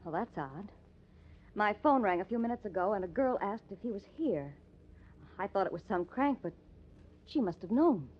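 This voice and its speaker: An actress playing a sharp-witted, pushy newspaper reporter in old-time radio drama style, snappy and insistent. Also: female voice, medium pitch, animated, normal speed, pauses often, breathy edge. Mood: curious. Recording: dull, lo-fi old radio recording.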